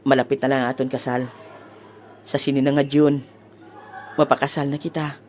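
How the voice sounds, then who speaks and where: A man's voice: medium pitch, flat delivery, moderate pace, darkish tone, slight background noise, close to an everyday voice. A man playing an easygoing Filipino fiancé in a comic audio drama, talking casually. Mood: affectionate. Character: easygoing fiancé working at a massage clinic.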